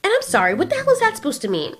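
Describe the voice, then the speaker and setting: A woman voicing an exasperated, sharp-tongued female giant monster in a comic audio drama, sarcastic and indignant. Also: wildly swooping pitch, very fast, full character voice. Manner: sarcastic, indignant, high-pitched.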